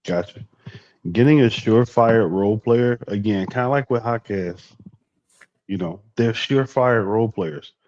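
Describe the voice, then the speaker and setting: Man, casual sports chat, filler-heavy, low voice, dry lo-fi sound. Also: normal speed, no room sound. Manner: animated, incredulous, many fillers. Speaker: podcast co-host.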